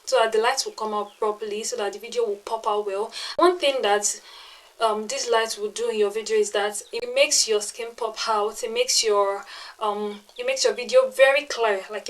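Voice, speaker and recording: A young woman with a Nigerian English accent talks a beginner through video tips in a relaxed, rambling way. She repeats key lines and fills pauses with ums, and her voice sits at an ordinary middle pitch. She records indoors in a plain room on a small external mic. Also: rough recording. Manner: chatty, repeats herself for emphasis, frequent ums. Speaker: tech and lifestyle YouTuber. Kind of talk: casual tutorial to camera. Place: plain-walled bedroom, indoors. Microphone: small external clip-on mic.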